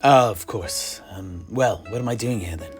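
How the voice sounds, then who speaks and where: A man's voice: on the low side, moderate pace, faint music behind, lightly acted. A man playing a fussy, easily flustered tavern keeper and amateur historian in a fantasy audio drama, speaking in wordy, mildly formal phrases. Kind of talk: in-character audio drama dialogue.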